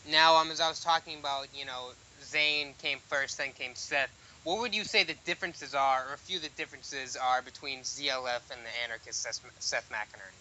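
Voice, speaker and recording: A man's voice: mid-range voice, fairly quick, hardly any pauses, bright, forceful tone, slight background noise, poor audio. A man hosting a podcast interview, asking loose questions and cutting in with short agreeing remarks. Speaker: podcast host. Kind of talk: casual interview chat.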